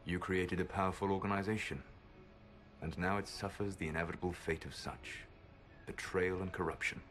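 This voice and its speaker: An actor playing a solemn, regretful schemer in a fantasy game, speaking formally and deliberately. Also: male voice, low-pitched, steady tone, fairly bright tone. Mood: cool, proud. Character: solemn, regretful male schemer burdened by a grim purpose. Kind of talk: dramatic video game dialogue. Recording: game music behind the voice.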